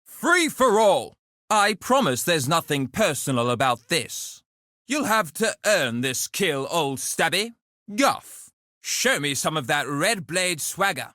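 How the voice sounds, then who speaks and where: A duelist who relishes a scrap in a video game, speaking. The voice is high, forceful and very dry, close in the ear. His short challenges are cocky yet sporting and gentlemanly. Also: big pitch swings, takes it slowly, no echo, full character voice. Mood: cocky, eager. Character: cocky, chivalrous male duelist eager for a scrap.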